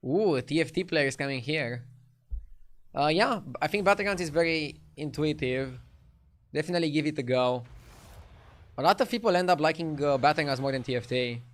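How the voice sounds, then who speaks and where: A man's voice: a little high, a little fast. Laid-back man with a Romanian accent. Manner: laid-back, chatty, answers chat between plays. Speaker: streamer. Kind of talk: casual live-stream commentary.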